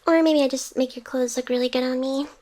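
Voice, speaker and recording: A woman voicing a devoted, teasing female AI hacker in an intimate ASMR roleplay, soft and tender. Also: high voice, steady tone, quick pace, lightly acted. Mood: teasing, fond.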